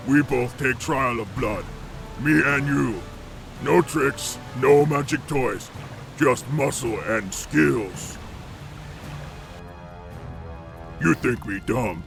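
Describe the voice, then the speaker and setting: A gravelly ogre chieftain for a game cutscene. He talks slowly in blunt, broken sentences, scornful and sure of his own might. Light music plays underneath. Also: mid-range voice. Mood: menacing, boastful. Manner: broken grammar, blunt taunting. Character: brutish, arrogant ogre clan chief, scornful and dim-spoken. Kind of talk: video game cutscene acting.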